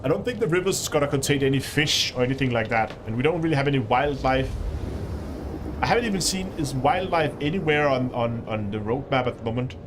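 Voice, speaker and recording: A man's voice: mid-range voice, quick pace, background noise. A man with a Danish accent hosting a casual gaming livestream, chatting with viewers and laughing often. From Denmark. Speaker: livestream host.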